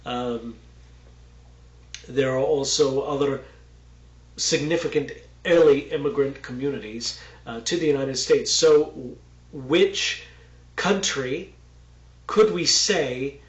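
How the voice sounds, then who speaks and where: A man's voice: mid-range voice, slow pace, pauses often, roomy sound, poor audio. A man from Texas giving a casual, rambling Bible lesson with many fillers and asides. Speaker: Bible teacher.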